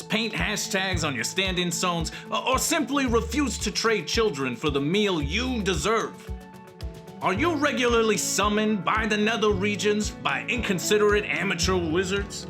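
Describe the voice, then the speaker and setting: An actor playing an aggrieved fey creature pitching legal help in a theatrical parody radio advert. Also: male voice, full character voice. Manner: theatrical, salesman-like.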